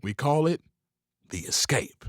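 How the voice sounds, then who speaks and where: An actor playing a gruff, guarded Old West saloon owner with a husky voice and curt, folksy, needling talk. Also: male voice, fairly high pitch, lively intonation, measured pace, no room sound, clean studio sound. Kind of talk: audio drama acting.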